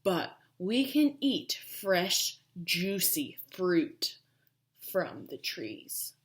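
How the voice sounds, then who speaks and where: A woman voicing excited storybook baboons, calling out emphatically. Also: on the low side, very slow, voice pushed down, full character voice. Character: loud, excited troop of baboons heralding rain.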